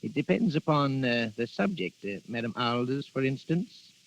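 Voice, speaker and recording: An actor in 1930s radio drama playing a genial, worldly older baron with an urbane, avuncular manner. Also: male voice, medium pitch, animated, moderate pace, close to an everyday voice. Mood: teasing. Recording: dull vintage 1930s radio recording.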